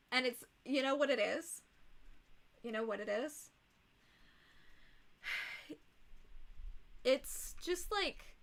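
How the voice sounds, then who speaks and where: Slow, bright-voiced hoarse young woman. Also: a little high. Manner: blunt, exasperated, swears freely. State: hoarse, rough voice. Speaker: livestreamer. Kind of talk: casual livestream chat.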